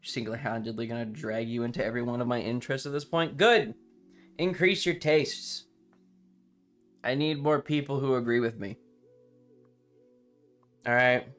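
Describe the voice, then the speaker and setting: A man with a medium-pitched voice talks casually on a livestream. He rambles with enthusiasm and swears offhandedly, while music plays behind him. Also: moderate pace, pauses often, fairly bright tone.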